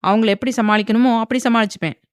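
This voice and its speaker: A woman voicing a fiery, defiant young wife who talks in a sharp, scolding way. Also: fairly high pitch, steady tone, quick pace, no echo, studio quality, lightly acted. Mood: furious.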